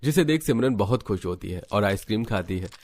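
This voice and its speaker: A man's voice: lowish voice, lively intonation, a little fast, a little mellow. A man reading scripted narration for a cartoon story as the storyteller.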